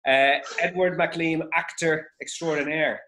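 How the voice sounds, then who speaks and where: A man from Waterford with a softened Irish accent, hosting an interview in a chatty, enthusiastic way. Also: fairly high pitch, fairly even intonation, measured pace. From Ireland, Waterford. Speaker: host, interviewer. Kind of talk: casual interview over video call.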